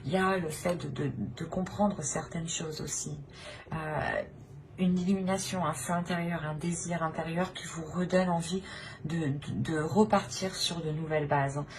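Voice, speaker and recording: Lowish voiced lady doing a tarot reading, kinda halting and repetitive, pretty noisy background. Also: fairly even intonation. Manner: hesitant, repeats phrases, often ends on "hein". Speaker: tarot reader hosting her channel. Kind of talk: unscripted tarot reading, addressing viewers. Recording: noticeable background noise.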